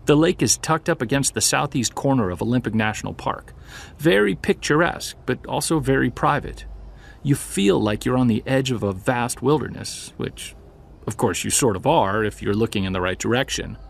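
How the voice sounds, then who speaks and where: Reflective man with creaky voice, acted. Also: mid-range voice, lively intonation, normal speed. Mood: wistful, wry. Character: adult male witness recounting an unsettling childhood camping memory. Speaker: voice actor in audio drama. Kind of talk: naturalistic audio drama storytelling.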